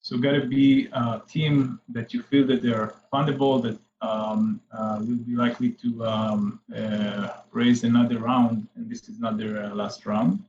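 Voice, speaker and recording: A man's voice: medium pitch, flat delivery, measured pace, few pauses, darkish tone, a little hiss or hum. Hesitant Israel-born man, muffled sound. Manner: hesitant, many fillers. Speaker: venture capital investor guest. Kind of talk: interview answers.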